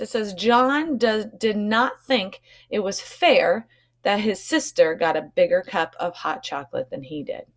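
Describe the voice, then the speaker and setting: A woman elementary school teacher explaining vocabulary to children in an instructive way, often checking in with short tag questions. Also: medium pitch.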